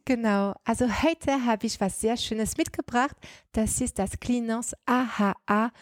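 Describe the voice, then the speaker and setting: A woman's voice: a little high, measured pace, dry recording, studio quality. A woman from France speaking German with a French accent, explaining a skincare product as a podcast guest, with slightly non-native grammar. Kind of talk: podcast conversation.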